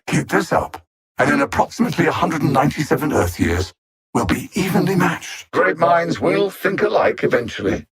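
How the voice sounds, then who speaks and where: An actor with a British accent playing a haughty, mocking alien machine intellect in a video game, speaking with pompous, deliberate diction. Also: male voice, mid-range voice, a bit bright, slightly rough, full character voice. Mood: disdainful. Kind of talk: theatrical video game villain voice lines.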